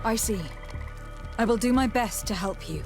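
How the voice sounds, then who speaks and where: A woman's voice: a little high, moderate pace, lightly acted. An actress playing a composed, diplomatic noblewoman envoy in a fantasy video game. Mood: resolved. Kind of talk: scripted fantasy game dialogue. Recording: game music behind the voice. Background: game music.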